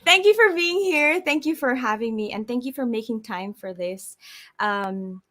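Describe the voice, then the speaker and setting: A young Hiligaynon-speaking woman from the Western Visayas, a guest singer-songwriter, introducing her song in a relaxed, self-deprecating way with many fillers. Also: a little high. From Philippines, Western Visayas. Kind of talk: casual spoken introduction before a song. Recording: loud guitar music behind.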